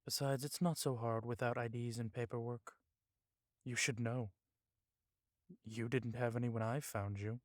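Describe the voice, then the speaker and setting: An actor playing a stern, cautious vampire police chief in an audio drama, speaking gravely with some Spanish words mixed in. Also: male voice, medium pitch, fairly even intonation, moderate pace, pauses often, no echo, clean studio sound, lightly acted. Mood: measured, watchful.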